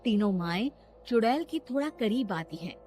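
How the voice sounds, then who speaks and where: A woman's voice: on the high side, expressive, normal speed, over music. A woman reading scripted narration for a children's cartoon story.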